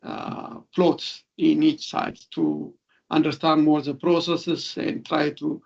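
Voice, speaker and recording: Man, Ethiopian accent, researcher lecturing online, hesitant explanatory delivery, gravelly creak, slightly dull lo-fi audio. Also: fairly high pitch, little pitch movement, darkish tone. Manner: halting, explanatory, frequent hesitations. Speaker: researcher and professor giving an expert talk. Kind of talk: webinar lecture with slides.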